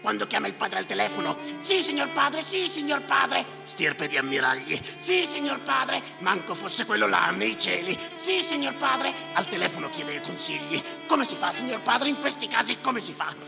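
An actor playing a bitter, cynical clerk who grumbles and declaims to himself in a raspy voice, in a theatrical monologue. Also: male voice, very high-pitched, sing-song, normal speed, hardly any pauses, heavily acted. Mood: mocking, declamatory. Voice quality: raspy, gravelly. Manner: muttering to himself, sardonic, declamatory, repeating words obsessively. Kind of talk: theatrical stage monologue with live music. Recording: reverberant hall, music behind voice. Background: music.

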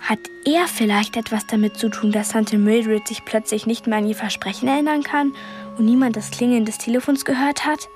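Woman playing brooding teen girl thinking to herself, highish but flat, radio play, soft music behind. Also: brisk, lightly acted. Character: brooding thirteen-year-old girl narrating her thoughts. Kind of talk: radio drama inner monologue.

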